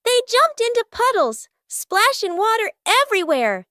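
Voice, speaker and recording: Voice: extremely high pitch, sing-song, moderate pace, pushed and bright, no echo, studio quality. A narrator reading a scripted children's cartoon story.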